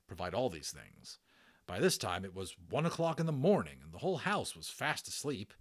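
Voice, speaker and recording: A man narrating a children's audiobook as an amateur voice actor, expressive and dramatic, in a storytelling way. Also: on the low side.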